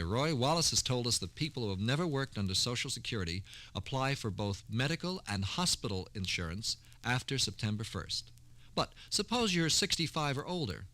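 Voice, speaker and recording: A man's voice: medium pitch, big pitch swings, a little fast, pushed and bright. A man hosting a scripted 1960s radio program in a formal, courteous announcer manner. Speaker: radio host.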